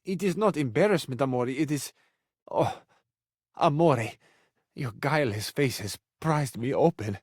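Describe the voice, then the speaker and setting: A man's voice: mid-range voice, expressive, a little slow, a little mellow, husky, no room sound, studio quality. A man voicing a suave, passionate living cabinet in a video game, with an Italian accent and a florid, flirtatious, earnest way of talking. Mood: pained, pleading. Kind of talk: theatrical romantic video game voice acting.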